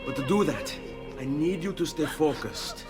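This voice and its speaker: Man acting young Italian noble hero, earnest, protective, fairly high male voice, game drama, music behind. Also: animated, moderate pace, close to an everyday voice. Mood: grave, gentle.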